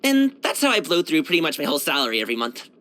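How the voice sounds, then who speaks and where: A man's voice: a little high, big pitch swings, very fast, sharp tone. A man voicing a brash, cheerful young martial arts dancer and guard in a video game, chatting casually in a slangy, boyish, self-assured way. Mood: casual, matter-of-fact. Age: young adult. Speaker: video game character voice actor. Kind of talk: casual, conversational game voice lines.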